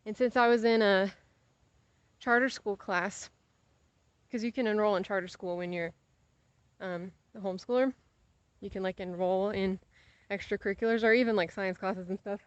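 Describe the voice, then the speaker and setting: A woman's voice: medium pitch, fairly even intonation, moderate pace, long pauses, smooth, dry recording, lo-fi sound. Young American woman, casual dry outdoor vlog. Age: young adult. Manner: giggly, easily sidetracked, playfully exaggerating. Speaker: vlogger. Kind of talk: casual rambling chat to camera while walking outdoors. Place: outdoors on a sidewalk, walking.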